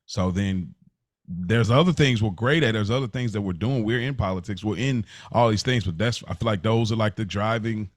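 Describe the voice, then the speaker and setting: A man's voice: lowish voice, moderate pace, no echo. An American man co-hosting a podcast, chatting casually in an informal, slangy way. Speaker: podcast co-host. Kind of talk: casual conversation.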